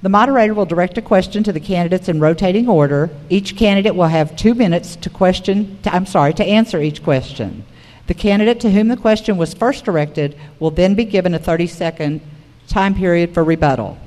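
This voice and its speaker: A woman's voice: lowish voice, a little fast, darkish tone. A woman moderating a public forum, reading prepared material aloud to the room. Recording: reverberant hall recording.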